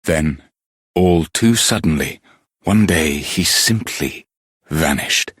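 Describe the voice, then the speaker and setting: Slow, grave, husky castle elder. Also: male voice, a bass voice, expressive, fairly bright tone, dry recording, studio quality, heavily acted. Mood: wistful, grave. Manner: measured, archaic storytelling. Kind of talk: video game character acting.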